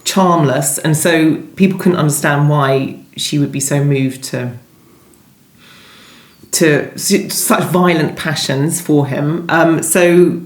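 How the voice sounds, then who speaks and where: A woman's voice: deep voice, slow. A woman novelist being interviewed, talking conversationally and thinking aloud, often hesitating and rephrasing. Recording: roomy, echoey sound.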